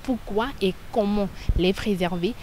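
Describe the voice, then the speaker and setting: Female host, scripted and measured. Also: mid-range voice, mellow, a little hiss or hum. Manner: clear, measured delivery. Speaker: TV presenter. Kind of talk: scripted show presentation.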